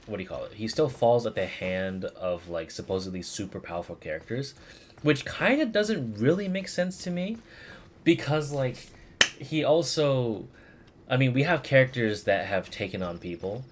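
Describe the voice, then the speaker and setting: A man livestreaming a game, chatting casually in a rambling, halting way as he searches for words. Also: mid-range voice, expressive, a little hiss or hum. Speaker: game streamer. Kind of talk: casual livestream commentary, replying to chat.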